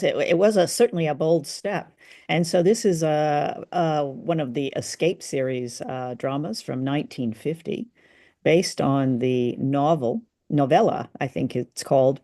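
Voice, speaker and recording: Creaky-voiced woman chatting casually. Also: deep voice, a little slow, mellow, dry recording, studio quality. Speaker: podcast co-host. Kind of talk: casual chat about old radio drama.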